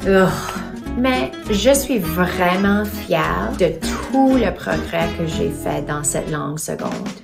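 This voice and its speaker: A playful, self-mocking woman narrates her day as a teacher, her French carrying an English-speaking accent. Her pitch swoops widely while she speaks slowly. Music plays clearly behind her. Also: animated. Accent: English-speaking learner of French. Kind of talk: scripted video narration.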